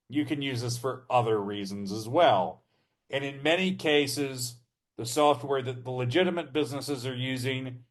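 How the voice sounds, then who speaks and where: Man, slow deliberate delivery, medium pitch, explanatory podcast co-host. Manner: measured, explanatory, with filler ums. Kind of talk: casual podcast conversation.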